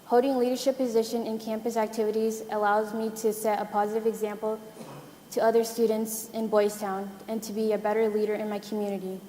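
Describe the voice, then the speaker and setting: A woman's voice: mid-range voice, level tone, fairly quick, dark tone, slight background noise. Earnest teen girl reading a prepared speech. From United States. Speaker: student mayor, panelist. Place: conference hall. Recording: echoey conference hall.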